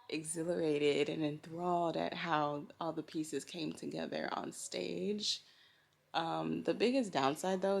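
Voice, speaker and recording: A woman's voice: low voice, creaky. A woman talking candidly and informally in a casual personal vlog, recorded at home. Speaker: vlogger, costume designer. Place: indoors at home.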